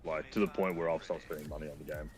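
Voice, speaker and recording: A man's voice: a bass voice, steady tone, racing, darkish tone, husky, faint music behind. A man nearing thirty chatting casually about games, loose and profane, with many filler words. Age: 20s. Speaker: co-streamer. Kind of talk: casual gaming chat.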